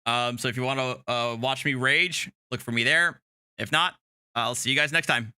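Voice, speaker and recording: A man hosting a livestream, chatting casually in a hesitant, halting way. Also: mid-range voice, steady tone, bright, forceful tone, clear, resonant tone, no room sound. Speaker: livestream host.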